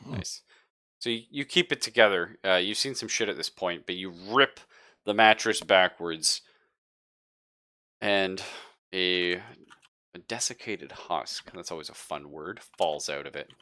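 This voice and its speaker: Bright-edged, low-voiced male game master. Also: unhurried. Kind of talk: improvised narration of a tabletop horror roleplaying game.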